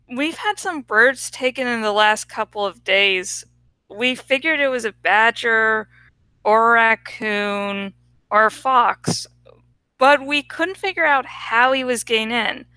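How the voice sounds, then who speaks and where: Storybook heroine, woman reader acting: earnest animal-loving teen girl, teasing streak, bright tone, fairly high. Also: unhurried, smooth, dry recording, poor audio. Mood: explaining. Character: earnest animal-loving teenage girl who shape-shifts.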